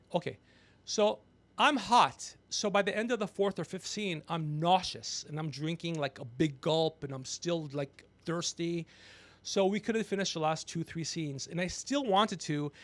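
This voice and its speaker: A gregarious man hosting a podcast, telling rambling comedic stories with animated, teasing asides. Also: high-pitched, dry recording. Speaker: podcast host. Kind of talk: rambling comedic storytelling on a livestream.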